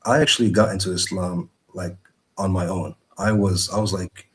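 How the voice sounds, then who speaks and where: Deep-voiced man from Morocco, halting storyteller. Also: steady tone. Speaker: guest sharing personal story. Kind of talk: casual personal storytelling.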